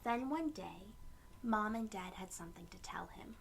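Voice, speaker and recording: A woman reading a picture book aloud to young children, with gentle storytelling phrasing and asides to her listeners. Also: mid-range voice, animated, moderate pace, gravelly, slight background noise.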